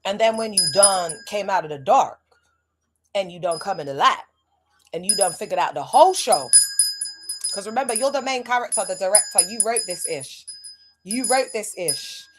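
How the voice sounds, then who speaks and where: A woman hosting a livestream, talking in a casual, animated way, full of rhetorical asides. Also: medium pitch, moderate pace, heavy background noise. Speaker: livestream host.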